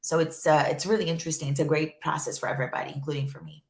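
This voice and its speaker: A low-voiced woman from Brazil, a native Portuguese speaker, talks through an online interview in a chatty, animated way, full of ums and restarts. Her voice sits deep and carries the echo of a bare room. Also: racing. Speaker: guest, journalism professor. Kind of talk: casual interview chat. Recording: echoey room.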